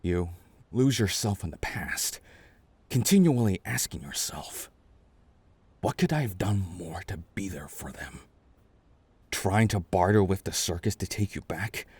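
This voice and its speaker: A man voicing a gentle, weary older man in a soft, breathy, halting ASMR roleplay, wistful and comforting toward the listener. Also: sits low, dry recording, heavily acted. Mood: wistful, regretful. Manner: halting, tender, murmured delivery with trailing pauses.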